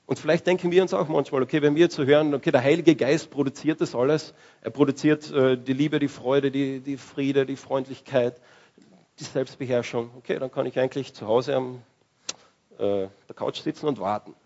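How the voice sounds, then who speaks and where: A man preaches in a loose, conversational way, often restarting his sentences. His voice is husky and sits at a middle pitch. The recording is dull and lo-fi. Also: steady tone, fairly quick, a little mellow. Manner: conversational, with restarts and searching for words. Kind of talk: sermon.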